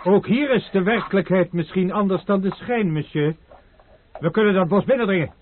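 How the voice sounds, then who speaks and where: A man plays a stoic, loyal servant in a radio play. He speaks high, in short deferential phrases. The old recording is muffled, with some background noise. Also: moderate pace, lightly acted. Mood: dutiful resolve. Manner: deferential, terse. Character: loyal, stoic manservant from the Ardennes. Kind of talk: radio drama acting.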